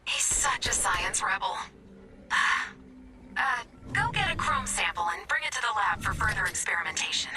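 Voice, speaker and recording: A woman's voice: mid-range voice, very animated, normal speed. An actress voicing a sarcastic female robot from a video game, with a dry, sardonic delivery. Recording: distant voice over loud game music. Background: game music.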